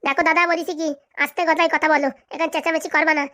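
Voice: high-pitched, level tone, rapid-fire. A performer voicing a bossy, scolding middle-aged village wife in a comedy cartoon. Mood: indignant. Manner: scolding, sharp-tongued, dismissive.

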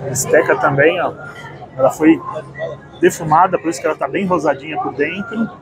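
Brazilian man in his fifties, food vlogger relishing each bite, slightly high voice, clear music behind, busy restaurant. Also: brisk, over a noisy crowd, rough recording. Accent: Brazilian Portuguese. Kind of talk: casual food tasting vlog. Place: large busy open-air restaurant.

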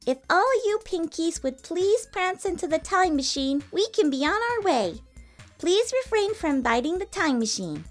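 A woman's voice: very high voice, big pitch swings, normal speed, faint music behind. A woman voicing a ditzy, good-natured young female pony in a cartoon comic dub, plaintive and folksy. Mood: helpful.

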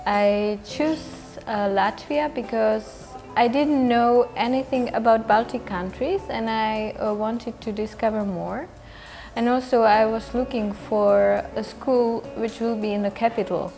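A young woman from the Republic, an exchange student giving measured interview answers and pausing to find her words. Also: on the high side, steady tone, darkish tone, faint music behind. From Czech Republic. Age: young adult. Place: indoor room with wooden cabinets.

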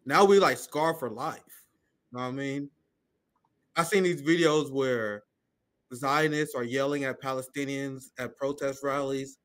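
A man's voice: medium pitch, unhurried, a bit bright. A man in his thirties co-hosting a casual reaction show over a video call, heated and scornful, breaking into laughs between points. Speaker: podcast co-host. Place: home room. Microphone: video call webcam.

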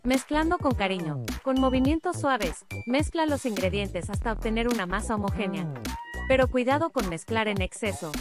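A woman reading a scripted recipe voice-over in a smooth, upbeat, evenly paced way. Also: fairly high pitch. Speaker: recipe narrator. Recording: clear studio voice-over over music.

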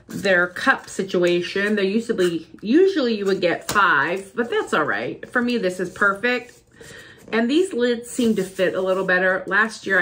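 A woman's voice: mid-range voice, a little hiss or hum. A woman in her fifties with a slight cold, chatting casually through a shopping haul in her home office, speaking to a desk camera. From Ohio, USA. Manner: chatty, rambling, lively greeting. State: has a cold, sniffling. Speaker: vlogger. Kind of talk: casual haul video chat. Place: home office with bookshelves, indoors. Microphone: camera at desk distance.

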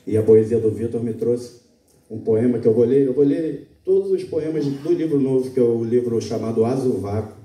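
A man's voice: medium pitch, normal speed. A Brazilian man in his thirties, a poet at a stand mic on a small auditorium stage, chatting loosely with fillers and then reciting a poem. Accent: Brazilian Portuguese. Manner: relaxed, talks with many fillers, then recites steadily. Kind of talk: poetry reading at a live open mic. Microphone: stand mic, recorded by a far camera. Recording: echoey auditorium, far from the mic.

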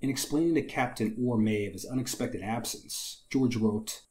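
A young man with a slightly raspy, hoarse voice, hosting a history channel and narrating from a script with wry conversational asides. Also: mid-range voice, moderate pace, darkish tone, slight background noise. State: recovering from illness, slightly hoarse.

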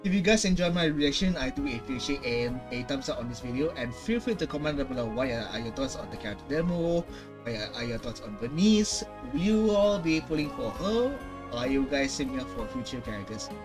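A young man hosts a reaction video in a casual, excitable way. He talks emphatically and with plenty of slang, in a middling pitch. Music plays along with his voice. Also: brisk. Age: young adult. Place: small room at home.

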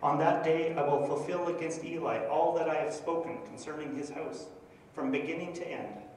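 A man's voice: on the high side, fairly even intonation, normal speed, darkish tone, slight background noise. A middle-aged man with a Canadian accent, a minister presenting a project and reading scripture in a church, hesitant and full of fillers. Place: church sanctuary before a projector screen. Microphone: handheld microphone. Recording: echoey church from a far camera.

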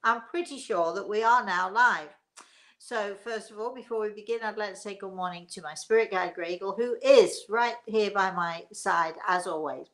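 A woman's voice: medium pitch, moderate pace. A middle-aged woman from England with an English accent, chatting and telling anecdotes as a host in a rambling, chuckling way. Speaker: host of a live story session. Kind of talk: casual chat and personal anecdotes. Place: living room, indoors.

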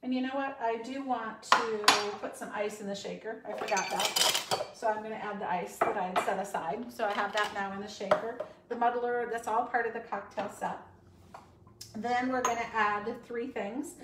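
A woman's voice: a little high, steady tone, normal speed, very noisy. Chatty woman in an echoey kitchen. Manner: chatty and upbeat, with frequent ums. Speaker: cooking show host and sales consultant. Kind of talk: casual demo talk to followers. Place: home kitchen. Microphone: far camera. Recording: echoey kitchen, mic at a distance.

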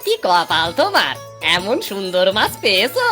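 An actor playing a nosy, envious village man in a cartoon, with wheedling, drawn-out exclamations. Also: mid-range voice, huge pitch swings, bright, forceful tone, soft background music. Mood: curious, envious. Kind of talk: cartoon voice acting.

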